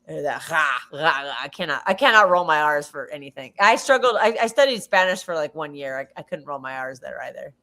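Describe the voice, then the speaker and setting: A woman's voice: sits low, animated, brisk, few pauses, sharp tone. An American woman co-hosting a live football watch-along, chatting casually with frequent restarts. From United States. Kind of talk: casual chat.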